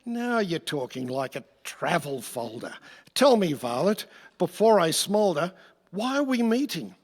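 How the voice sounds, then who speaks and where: Man as a fussy, high-voiced damned tyrant. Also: sing-song, full character voice. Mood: impatient. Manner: petulant, halting, affected. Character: fussy, impatient, self-absorbed cursed tyrant with fiddle. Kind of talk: theatrical rhymed radio play reading. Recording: reverberant hall recording.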